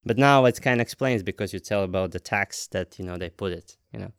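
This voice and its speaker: A man's voice: lowish voice, brisk, gravelly, no room sound, clean studio sound. A man, interviewing founders in a friendly, chatty way with jokey asides. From Latvia. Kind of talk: casual interview chat.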